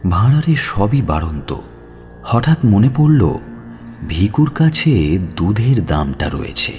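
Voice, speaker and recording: A man's voice: on the low side, very animated, measured pace, a little mellow, faint music behind. A man narrating a scripted audio story in a dramatic storytelling style.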